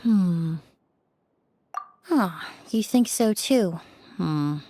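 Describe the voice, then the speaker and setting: A woman playing a poised, analytical young forensic cleaner in a video game, speaking in a measured, polite way. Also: fairly low pitch, lively intonation, warm tone, a little hiss or hum. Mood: thoughtful.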